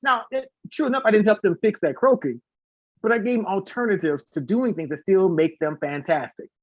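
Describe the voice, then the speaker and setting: A man who sings and teaches voice, talking as a guest in a casual live interview, telling stories in an animated way and acting out what people say. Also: high-pitched, fairly quick, no echo, highs cut off. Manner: talkative, animated storytelling with acted-out quotes and demonstrations.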